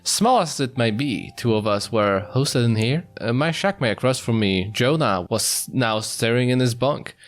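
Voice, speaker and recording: A man's voice: medium pitch, expressive, moderate pace, hardly any pauses. A man reading visual novel text aloud in a casual let's-play style, breaking off for jokey, mock-apologetic asides. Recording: music behind the voice. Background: music.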